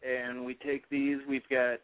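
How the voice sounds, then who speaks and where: A man's voice: mid-range voice, completely flat delivery, a little slow. Minnesota guy calling in on the phone, laid back, lots of uhs, tinny line. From California. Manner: easygoing, hesitant, chuckles. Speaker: guest, music promoter. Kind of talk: phone-in radio interview.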